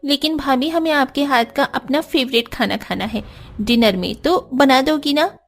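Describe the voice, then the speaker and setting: A woman's voice: high voice, moderate pace. A woman playing a girlish, carefree young sister-in-law in a cartoon, coaxing and demanding with exclamations. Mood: wheedling. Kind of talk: Hindi cartoon story dialogue.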